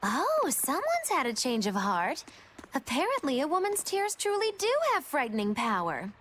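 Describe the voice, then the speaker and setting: A woman's voice: high voice, huge pitch swings, normal speed, fairly bright tone, slight background noise, heavily acted. An actress playing a teasing, theatrical, amused woman in a video game, with an arch, playful delivery. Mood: mock wonder.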